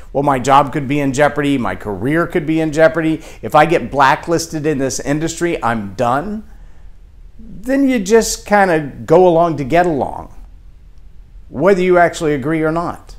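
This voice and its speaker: A man from Texas with a Texan accent, giving an animated leadership talk that acts out quoted voices. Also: a little high. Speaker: leadership speaker. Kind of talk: motivational talk to camera.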